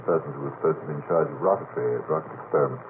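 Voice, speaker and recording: A man's voice: extremely low pitch, very fast. A middle-aged man on a late-night radio panel, putting questions in a hesitant, courteous and wordy way. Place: radio station studio. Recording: noisy narrowband vintage radio tape.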